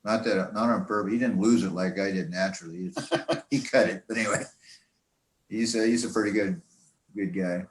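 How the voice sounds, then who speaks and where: Older American male, low register, informal rambling club presenter, halting delivery, echoey sound. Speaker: speaker presenting to a radio club. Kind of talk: informal slideshow talk, reminiscing.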